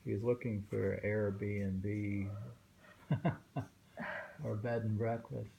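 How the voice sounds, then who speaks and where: A man reading poetry aloud informally and commenting on it in a halting, musing way, pausing often. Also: on the low side, fairly even intonation, vocal fry, warm tone, poor audio. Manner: halting, musing, with frequent pauses. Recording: faint background noise, home recording.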